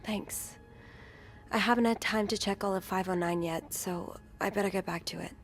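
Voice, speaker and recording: An actress playing an earnest, uneasy young woman working as a hotel maid in a video game, speaking softly and a little hesitantly. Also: mid-range voice, fairly even intonation, normal speed, close to an everyday voice. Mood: worried. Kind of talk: video game dialogue acting.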